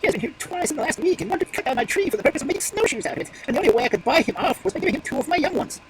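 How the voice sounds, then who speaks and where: A woman voicing an anxious, pleading male magpie in a storybook reading, with a shrill and fast delivery. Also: big pitch swings, very noisy. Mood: lamenting.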